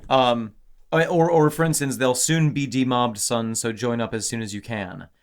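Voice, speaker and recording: A man co-hosting a casual podcast, talking excitedly about song lyrics with many restarts; English is not his first language. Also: medium pitch, normal speed, clean tone. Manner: animated, exclamatory, lots of restarts. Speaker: podcast co-host.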